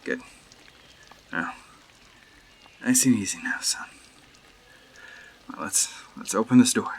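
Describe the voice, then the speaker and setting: A man playing a calm, protective adult rescuing a frightened boy in an audio drama. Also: fairly low pitch, slow pace, gravelly, a little hiss or hum. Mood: calm, coaxing.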